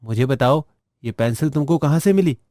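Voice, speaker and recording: A man's voice: mid-range voice, animated, fairly quick, warm tone, dry recording, studio quality, natural voice. A man voicing village boys in a cartoon story, in an earnest, questioning manner. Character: village boys, one naive, one sly and greedy.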